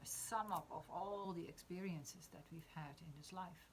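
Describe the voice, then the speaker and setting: A woman in her fifties with a Dutch accent, reflecting in a spiritual conversation, often pausing to search for words. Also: fairly low pitch, a little hiss or hum. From Netherlands. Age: 50s. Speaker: discussion participant.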